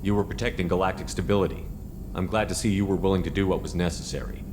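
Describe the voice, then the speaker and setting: A man's voice: low voice, fairly even intonation, fast talker, noisy background, close to an everyday voice. An actor playing a firm male military commander in a video game, with a direct, questioning manner. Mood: approving. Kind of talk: video game dialogue acting.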